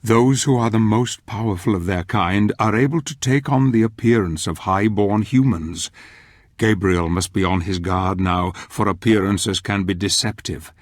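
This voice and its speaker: A solemn older male narrator of a gothic fantasy game , performed by a man. His voice sits on the low side and is recorded bone dry. He delivers scripted narration with grave, theatrical weight, measuring out each phrase. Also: moderate pace, dry recording, natural voice. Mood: foreboding.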